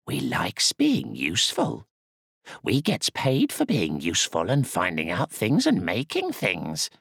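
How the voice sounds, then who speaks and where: A man voicing a cheeky, stubborn little male goblin who talks in broken grammar with a slow, gravelly drawl. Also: sits low, huge pitch swings, a bit bright, no room sound, clean studio sound, full character voice. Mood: defiant.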